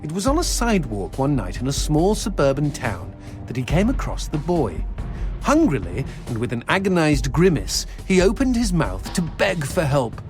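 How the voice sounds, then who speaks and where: A droll, wisecracking demon skull from a video game, speaks in a fairly high, theatrical voice. His delivery swings up and down with florid storyteller's relish, over background music. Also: wildly swooping pitch, moderate pace. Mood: droll, macabre storytelling. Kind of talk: scripted video game acting.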